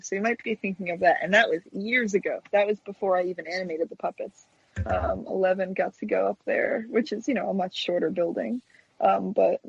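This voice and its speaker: A woman's voice: mid-range voice, gravelly, husky, dry recording, dull audio. An American woman chatting casually as an interview guest, telling anecdotes in a hesitant, rambling way peppered with "you know". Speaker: guest, stop-motion puppet animator.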